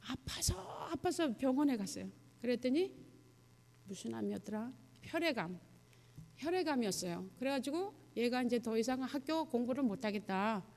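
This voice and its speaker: A woman missionary giving a testimony sermon to a congregation, speaking in a conversational, halting way and putting questions to her listeners. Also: on the high side, a little mellow. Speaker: missionary guest preacher. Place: church sanctuary, addressing a congregation. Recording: long hall reverb, church sound system.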